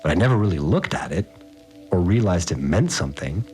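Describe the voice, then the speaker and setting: An awed working man with a very low voice that moves around freely in pitch. He rambles through a slangy, unsure audio diary in a fiction podcast, with music underneath. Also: big pitch swings, fairly quick, a little mellow. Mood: awed, stirred. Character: awed, rough-spoken working man recounting strange events on tape. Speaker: fixer, a manual worker.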